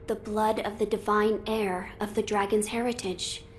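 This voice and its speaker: An actress voices an earnest boy lord in a video game. The delivery is composed and courtly, with quiet resolve. Faint noise sits behind the voice. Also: medium pitch, flat delivery, moderate pace, natural voice. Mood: thoughtful, resolute. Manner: formal, thoughtful, composed. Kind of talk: video game cutscene acting.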